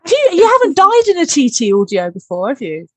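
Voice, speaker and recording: A woman's voice: high voice, wildly swooping pitch, brisk, a bit bright, no room sound. A woman who writes audio drama, chatting casually and teasingly with friends on a podcast. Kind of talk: casual behind-the-scenes podcast chat.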